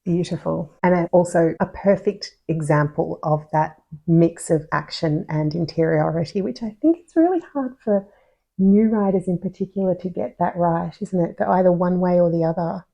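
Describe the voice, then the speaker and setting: A woman's voice: lowish voice, normal speed, gravelly, dark tone, dull audio. A woman hosting a podcast, asking reflective questions in an encouraging, conversational way. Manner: encouraging, reflective interviewer who asks questions. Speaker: podcast host. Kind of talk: casual interview questions.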